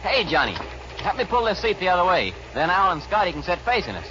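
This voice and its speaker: A man's voice: high voice, sing-song, fast, noisy background. A man playing an easygoing, polite young cowboy band leader, plain-spoken, in a 1940s Western radio drama. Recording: muffled 1940s radio recording.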